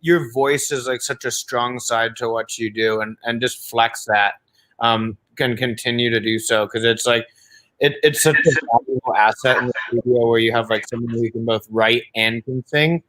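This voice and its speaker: A man at mid pitch gives a songwriting performer feedback as a showcase judge. He rambles in loose, filler-packed sentences and stays warmly encouraging throughout. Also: steady tone, normal speed, rough recording. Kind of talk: casual spoken feedback.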